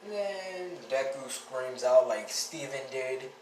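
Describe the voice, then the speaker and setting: A man with a scratchy, raspy voice and a stuffy nose from a cold, rambling through a story and often correcting himself. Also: medium pitch, expressive, takes it slowly, a little mellow. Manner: rambling, with frequent self-corrections. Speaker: fan-fiction storyteller. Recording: roomy, distant mic, noisy background.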